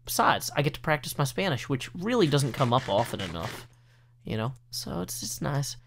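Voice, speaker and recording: An earnest man from the United States talks over his gameplay in a relaxed, meandering way. He backtracks often, piles on fillers and restarts his sentences. His voice sits at an ordinary middle pitch, recorded close on a desk mic. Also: moderate pace, vocal fry, a little hiss or hum. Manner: earnest, rambling with many fillers and self-corrections. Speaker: let's play host. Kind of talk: casual gameplay commentary.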